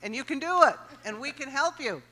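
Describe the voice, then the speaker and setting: A woman from Chicago, a music supervisor, introducing herself on a workshop panel in an energetic, encouraging way. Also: high-pitched, fairly quick, rough recording. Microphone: handheld mic.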